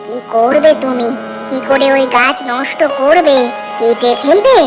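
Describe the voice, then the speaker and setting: An inquisitive middle-aged village wife acted by a woman from a cartoon folk tale. Her delivery is expressive and coaxing, noticeably lower than a young heroine's. Clear music sits behind the voice. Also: a little slow. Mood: cautioning. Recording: voice over prominent background music.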